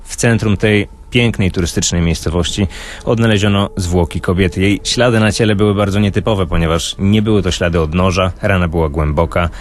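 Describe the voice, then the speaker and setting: A man speaking as a true-crime expert in a radio discussion, explaining cases in a measured, storytelling way. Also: low voice.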